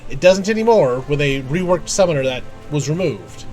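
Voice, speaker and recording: A man's voice: fairly high pitch, lively intonation, normal speed, darkish tone. Man casually commentating a game over music. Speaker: game streamer playing and commenting. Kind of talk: casual live gameplay commentary, reading on-screen text aloud. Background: video game music.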